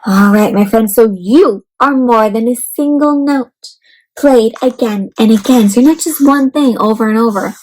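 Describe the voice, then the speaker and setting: A woman hosting an interactive read-aloud for young children, expressive, asking them questions and explaining things simply. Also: fairly high pitch, normal speed, poor audio.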